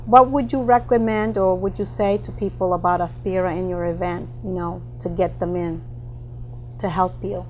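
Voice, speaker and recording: Woman interviewer over clear background noise. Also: fairly low pitch, steady tone, moderate pace, darkish tone. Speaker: interviewer and presenter. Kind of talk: informal interview hosting. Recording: clearly noisy room ambience.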